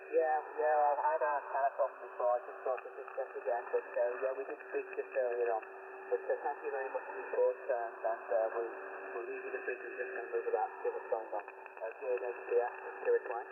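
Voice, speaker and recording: Fast-talking man on a noisy radio link. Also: medium pitch, fairly even intonation, phone-quality sound. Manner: hurried, a bit halting. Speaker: amateur radio caller. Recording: distant radio signal, phone-thin with noise.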